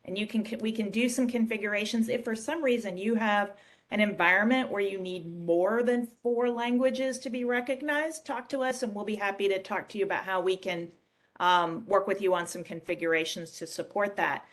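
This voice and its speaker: Woman casually presenting a software demo. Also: mid-range voice, normal speed. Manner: conversational, explanatory. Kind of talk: casual screen-share product demonstration.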